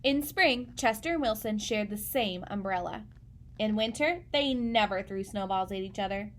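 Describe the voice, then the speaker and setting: A woman reading a children's picture book aloud in an expressive storytelling style, speaking as if to young listeners. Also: mid-range voice, normal speed.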